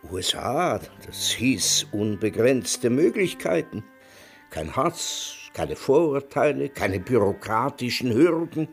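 An actor playing a sardonic, world-weary old emigrant in a German radio drama, dry and deliberate in manner. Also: male voice, medium pitch, big pitch swings, gravelly, slightly rough, faint music behind, full character voice. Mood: wistful reminiscing. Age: older.

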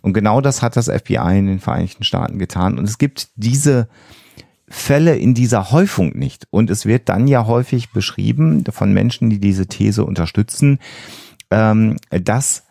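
Low, creaky-voiced man explaining earnestly. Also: animated, moderate pace, warm tone, no room sound, studio quality. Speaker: podcast co-host. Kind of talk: explanatory podcast discussion.

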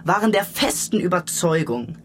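A woman's voice: lowish voice, lively intonation, moderate pace, faint music behind. A woman giving spoken commentary within a radio play.

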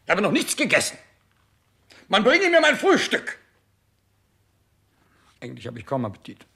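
A man's voice: high-pitched, wildly swooping pitch, moderate pace, gravelly, husky, full character voice. An actor playing an imperious, petulant, ailing king in denial, pompous and capricious, in a 1960s radio play. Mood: imperious, outraged. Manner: capricious, pompous, swinging from shouts to mutters.

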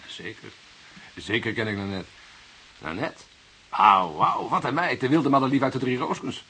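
An actor playing a young journalist in a Dutch radio drama, polite and hesitant, evasive with a wry, cheeky streak. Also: male voice, mid-range voice, moderate pace, pushed and bright, breathy edge. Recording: hissy old radio play recording.

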